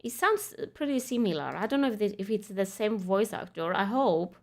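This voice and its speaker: A woman's voice: medium pitch, lively intonation, fairly quick. A young woman streaming a game, chatting casually with her viewers, laughing easily and reading quest text aloud. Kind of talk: casual gaming commentary.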